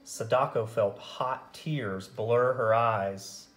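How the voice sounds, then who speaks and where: A man reading a picture book story aloud in a gentle, measured way with dramatic pauses. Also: mid-range voice, roomy sound. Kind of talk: scripted story narration, read aloud.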